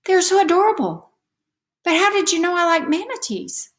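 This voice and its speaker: Woman putting on an eager, excitable young girl. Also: very high voice, normal speed, pitched above the performer's own voice. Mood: excited. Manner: earnest, excitable. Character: eager, sweet third-grade girl in a storybook.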